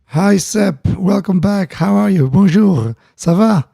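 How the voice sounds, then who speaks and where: Gravelly, mellow man. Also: high voice, soft background music. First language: Dutch. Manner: halting, many fillers and restarts, greets chat viewers. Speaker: live-stream host. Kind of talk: casual live-stream chat. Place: home music studio.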